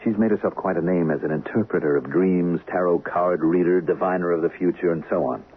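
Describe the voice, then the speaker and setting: An actor playing a two-faced, smooth-talking scheming husband in a 1970s radio drama, persuasive and theatrical, on a muffled old recording. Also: male voice, sits low, a little fast, a little hiss or hum, natural voice.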